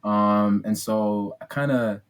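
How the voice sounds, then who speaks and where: Young man, from Ghana, lowish voice, relaxed upbeat chat, lots of ums. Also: slow pace. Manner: chatty, many ums and restarts, upbeat. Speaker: podcast guest. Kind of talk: casual interview storytelling.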